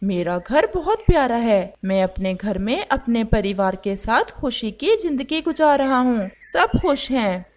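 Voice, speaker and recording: A woman voicing a wise, gentle old male pigeon who warns against chasing wealth. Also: fairly high pitch, very animated, measured pace, hardly any pauses. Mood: calm. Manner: gentle, quietly warning.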